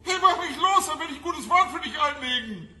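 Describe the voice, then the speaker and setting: A man's voice: very high-pitched, expressive, brisk, bright, forceful tone, faint music behind, full character voice. An actor playing a trapped, desperate and sarcastic male captive in a radio crime drama, agitated and mocking. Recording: echoey room with faint noise.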